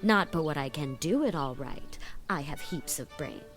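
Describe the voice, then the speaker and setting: A woman's voice: sits low, expressive, normal speed, soft background music. A woman playing a giddy, flighty eighteen-year-old girl. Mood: chatty. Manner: gushing, chattering, playfully dramatic. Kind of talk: audiobook dramatization.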